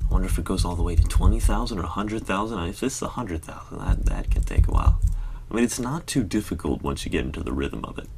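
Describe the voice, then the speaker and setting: A man's voice: sits low, very animated, fast talker, vocal fry, background noise. A man chatting casually and rambling in a self-deprecating way while playing a computer game on a live stream.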